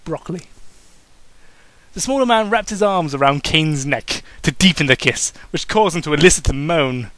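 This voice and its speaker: Male narrator, comic fan fiction reading, fairly high pitch, sharp bright tone, informal, mocking. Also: lively intonation, normal speed. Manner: informal, breaks off for mocking asides. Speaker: amateur narrator.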